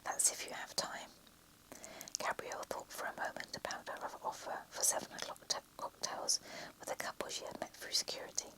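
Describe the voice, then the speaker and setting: A reader whispering a romantic story in a soft, unvoiced hush, with small slips and self-corrections. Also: a little fast, hardly any pauses, low-quality recording. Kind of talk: whispered story reading, ASMR.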